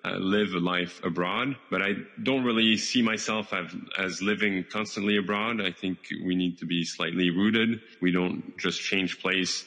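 Very deep-voiced man with a French accent. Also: fairly even intonation, sharp tone, long reverb tail, low-quality recording. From France. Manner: measured and analytical, with frequent uh fillers. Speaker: interviewee. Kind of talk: recorded personal reflection.

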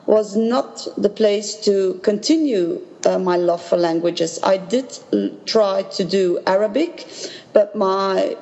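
A woman from Belgium, a native Dutch speaker, recounting her experiences as an interview guest in a measured, earnest way. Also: mid-range voice, warm tone. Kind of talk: personal recollection in an interview. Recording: dull sound with long reverb.

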